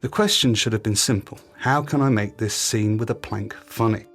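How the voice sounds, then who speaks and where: A British man presents a podcast in a scripted, instructional style. His voice has a slightly husky grain, and soft music sits faintly behind him. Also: fairly low pitch, normal speed. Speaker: podcast host. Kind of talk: scripted podcast monologue on creativity.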